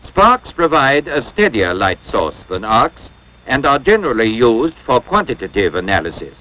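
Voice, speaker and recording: Male narrator, 1950s instructional film: scripted, formal and measured, mid-pitched with animated intonation. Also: poor audio. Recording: 1950s educational film soundtrack.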